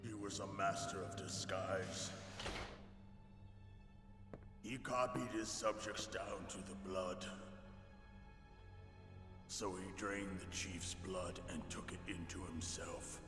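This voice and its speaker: A man's voice: sits low, fairly even intonation. An actor playing a huge dying shaman warrior in a video game, solemn and fatalistic, making ominous prophecies. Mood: grave, cryptic. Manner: slow, ominous, prophetic pronouncements. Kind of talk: dramatic video game voice acting. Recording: echoing reverberant game audio under loud music. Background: loud game music.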